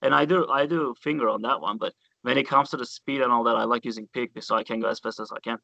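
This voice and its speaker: Turkish-accented man, excitable storyteller, bright tense tone, dry dull audio. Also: medium pitch, fast, gravelly, no echo. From Turkey. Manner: enthusiastic storyteller, loose grammar, swears casually. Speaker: guest bassist. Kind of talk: casual interview chat.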